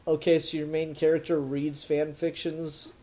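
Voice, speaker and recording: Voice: medium pitch, flat delivery, moderate pace, warm tone. A podcast co-host giving a casual, blunt and emphatic critique in conversation. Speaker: podcast co-host reviewing an audio drama.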